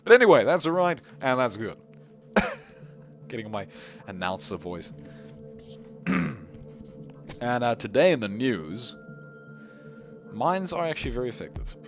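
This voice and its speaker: A hoarse man rambles through live game commentary, his pitch swinging up and down as he talks. The recording is dull and lo-fi, with faint music underneath. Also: very animated, a little slow, pauses often. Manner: chatty, rambling, lots of restarts and laughs. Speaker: tournament commentator.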